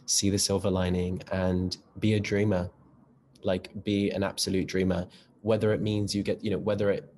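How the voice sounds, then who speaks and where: Low, gravelly young man. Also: a little mellow, a little hiss or hum. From North West England. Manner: chatty, camp, quick asides, says darling and girl. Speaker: actor, interview guest. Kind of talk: casual interview chat.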